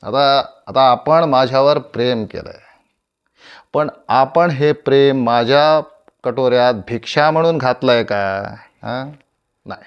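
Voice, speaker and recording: Dull muffled recording, male actor as aging proud stage veteran, declamatory, grandiloquent, creaky voice, dramatic pauses, theatrical monologue. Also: medium pitch, fairly even intonation. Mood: proud, defiant. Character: proud, aging veteran Marathi stage tragedian addressing his audience.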